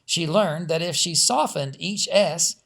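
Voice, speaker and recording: A man's voice: a little high, measured pace, gravelly, fairly bright tone. A man reading a family story aloud as scripted audiobook narration. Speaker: author reading own audiobook.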